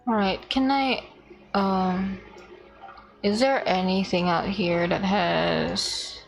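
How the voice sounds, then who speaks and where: Woman, mid-pitched, slow pace, casual game streamer, fragmented self-talk, faint background music. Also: steady tone, vocal fry. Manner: muttering to self in short fragments. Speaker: livestreamer playing a video game. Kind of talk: casual gaming stream chat, thinking aloud.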